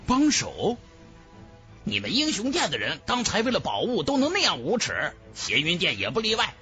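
A man's voice: sits high, wildly swooping pitch, moderate pace, sharp tone, faint music behind, full character voice. A man voicing a blunt, impatient fantasy cultivator with a curt, grumbling manner in an audiobook.

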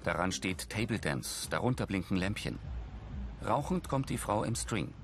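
Low-voiced man narrating scenes. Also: expressive, normal speed, a little hiss or hum. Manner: factual, present-tense delivery. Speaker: audio description narrator.

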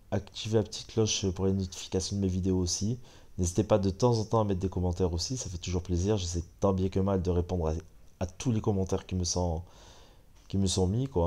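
A man with a French accent and a stuffy head cold, chatting casually as he comments on a mobile game. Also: low-pitched. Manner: relaxed, chatty, many fillers. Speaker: gaming channel host.

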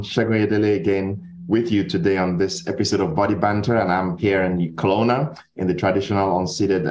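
A man's voice: low-pitched, darkish tone. A man co-hosting a podcast and teaching anatomy, talking in a friendly way with small laughs between phrases. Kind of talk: casual podcast interview.

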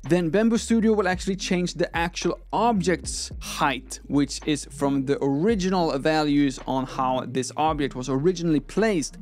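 Higher-voiced young man teaching step by step. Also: a little mellow. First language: not English. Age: young adult. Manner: explanatory, step-by-step, informal. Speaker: tutorial host. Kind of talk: conversational software tutorial. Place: small room at a desk, indoors. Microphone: close microphone on an arm.

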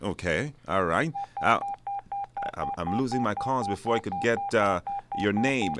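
A man's voice: on the low side, expressive, unhurried, heavy background noise. A man with a Belizean accent hosting a live radio call-in show, putting questions to callers. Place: radio studio.

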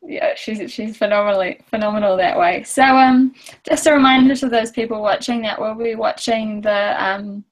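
A woman's voice: medium pitch, low-quality recording. A woman, hosting a casual webinar interview in a friendly way with fillers, on an earphone mic in a small room at home. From New Zealand. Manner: friendly, quick to laugh, uses fillers. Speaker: webinar host. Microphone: earphone headset on a video call.

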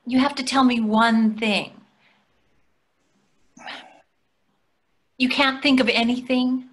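A woman's voice: on the high side, steady tone, normal speed, fairly bright tone, natural voice. A woman in her sixties playing a placating, dryly chiding wife in a readers theatre play. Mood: needling. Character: placating, gently needling middle-aged wife. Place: plain room indoors on a video call.

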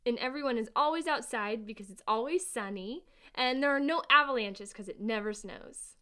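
Woman as very high, dramatic little girl. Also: moderate pace. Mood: playful, imaginative. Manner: expressive, childlike exclamations. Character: imaginative, dramatic, stubborn young little sister. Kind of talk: family audio drama acting.

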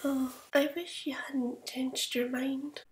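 A woman voicing a sweet, naive young forest girl, girlish and expressive, in a comic fan dub. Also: high-pitched, slow, roomy sound, a little hiss or hum. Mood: gentle, wistful. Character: gentle young magical forest girl, naive, playful, fiery when hurt.

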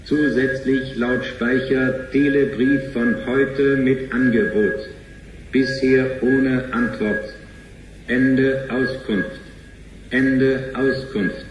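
A man voicing a cold, officious bank computer in a radio play, clipped, monotone and telegraphic. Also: medium pitch, extremely slow, roomy sound, noisy background. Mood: impersonal.

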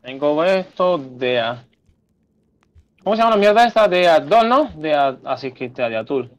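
A man's voice: fairly high pitch, sing-song, normal speed. A man chatting casually and crudely in slang during a group gaming session. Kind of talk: casual voice chat while gaming.

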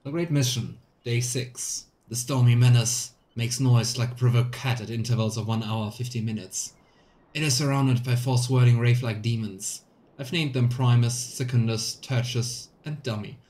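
A man reading aloud the journal of an earnest, methodical demon researcher in measured, clipped phrases for a video game. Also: medium pitch, steady tone, a little mellow, lightly acted. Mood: earnest, studious.

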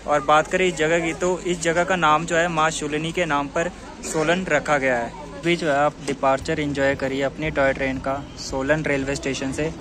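A man's voice: on the high side, little pitch movement, normal speed. A man vlogging a mountain train journey, chatting like a tour guide and leaning on the same filler phrase. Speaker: travel vlogger. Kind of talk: travel vlog narration. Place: aboard a mountain toy train and on station platforms, outdoors.

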